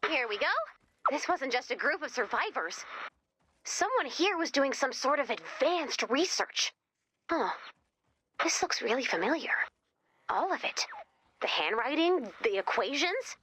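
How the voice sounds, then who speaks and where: A woman playing an eager, curious young researcher who guides players in a video game, chatty and expressive as she thinks aloud. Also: high-pitched, unhurried, long pauses, no room sound, exaggerated voice. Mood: curious, concerned. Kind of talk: scripted video game dialogue.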